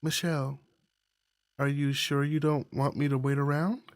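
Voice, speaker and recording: A performer reading a visual novel voices a calm, devoted young romantic partner in a gentle, measured, reassuring way. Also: medium pitch, dry recording, clean studio sound, lightly acted. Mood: warm.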